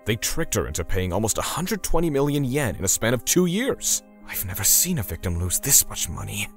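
A man's voice: lowish voice, sing-song, brisk. An actor voicing a solemn, menacing torturer who narrates his vengeance in a dramatic manga dub. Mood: sombre. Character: grim, self-righteous male torturer seeking vengeance for victims. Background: music.

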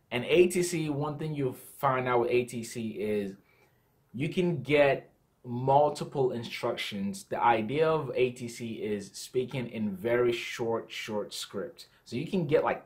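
A young man talking casually to camera as a host and pilot, explaining in plain words. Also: medium pitch. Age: young adult. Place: small indoor room at home.

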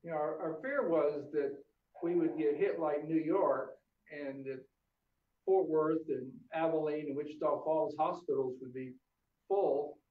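An older male doctor talks in a relaxed, optimistic way, with a slightly high voice and plenty of uhs. He sounds far from the mic in an echoey room, and the audio is thin and phone-like. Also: unhurried. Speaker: family doctor. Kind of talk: casual video interview.

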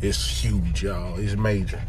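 A man, chatting casually to viewers about his dog while sick and losing his voice, clearing his throat often. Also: low voice, steady tone, slow, background noise. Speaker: dog breeder vlogger. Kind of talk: casual vlog to camera. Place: parked car. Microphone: phone held at arm's length.

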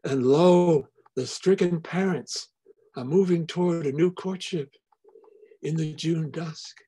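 A man's voice: a little high, mellow, breathy edge. An older man reading a poem and introducing the next poet at an online reading, stumbling over words with restarts. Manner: halting, with restarts and fillers. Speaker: poetry reading emcee. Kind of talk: reading poetry and introducing poets. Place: home study with bookshelves. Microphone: laptop webcam on video call.

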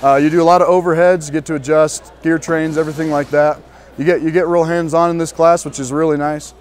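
A young man from northern Colorado, a student casually showing visitors around a large diesel workshop, pausing often with ums and restarts. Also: on the high side, flat delivery, a little hiss or hum. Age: young adult. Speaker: student giving a shop tour. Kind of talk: walking tour guide talk.

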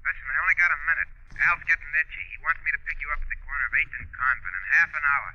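A man playing a hurried gangster heard through a telephone filter in 1940s radio drama. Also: on the high side, bright, forceful tone. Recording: dull old radio, telephone sound.

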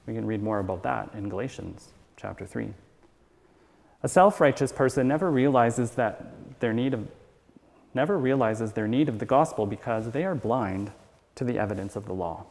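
A man's voice: fairly low pitch, moderate pace, creaky, a little mellow. Man reading a sermon in a reverberant hall. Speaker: church elder reading a sermon aloud. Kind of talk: read sermon. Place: church sanctuary at a wooden pulpit, indoors.

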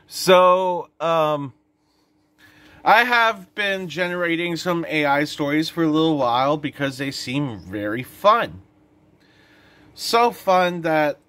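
A man's voice: fairly high pitch, sharp tone. A young adult man talking informally and with playful drama as a vlogger telling a story, recorded on a phone held at arm's length indoors. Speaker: vlogger and host. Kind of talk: casual vlog storytelling, reading a short story aloud. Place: indoor room.

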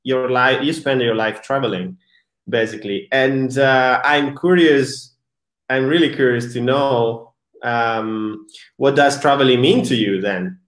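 A man with an Italian accent hosting a live online interview, slow and hesitant with many fillers. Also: medium pitch, a bit bright. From Italy. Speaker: host. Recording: echoey room.

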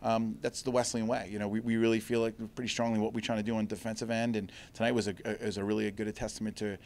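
A man's voice: fairly low pitch, little pitch movement, rapid-fire, a little mellow, a little hiss or hum. A middle-aged man, a head coach, giving an earnest postgame interview with many restarts, speaking into a handheld mic in front of a backdrop. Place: indoors before a sponsor backdrop. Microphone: handheld mic held by interviewer.

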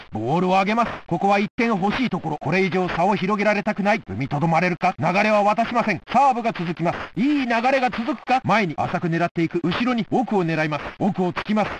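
An excitable Olympic sports announcer for a video game. His voice sits extremely high and moves quickly through short, energetic calls of the action. Clear noise runs behind the clips. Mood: tense, focused.

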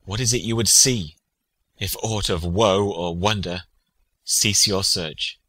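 A man's voice: deep voice, takes it slowly, bright, forceful tone, dry recording, heavily acted. A man playing a prince's loyal, grieving friend in a classical tragedy, speaking in a solemn, declamatory way. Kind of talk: classical stage tragedy, dramatic audiobook reading.

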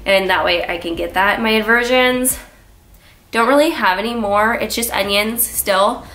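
A young woman with a blocked, stuffy nose talks casually to a camera in a small room indoors. She rambles in an easy, chatty way and scatters "like" through her sentences, while faint music sits low in the background. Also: moderate pace, fairly bright tone. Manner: chatty, lots of filler "like". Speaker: vlogger. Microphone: camera on a tripod, framed from the waist up.

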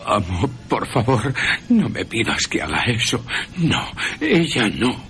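A man's voice: fairly low pitch, wildly swooping pitch, slow pace, fairly bright tone, a little hiss or hum, voice pushed up, exaggerated voice. A man voicing a frantic, desperate madman who serves a vampire, in a radio drama. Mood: desperate pleading. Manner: desperate, agitated, pleading. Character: frantic, pleading madman asylum inmate, servant of a vampire.

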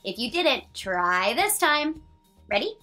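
A woman in her thirties reading a children's picture book aloud, expressive and sing-song, with drawn-out lines and counting along. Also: on the high side, soft background music.

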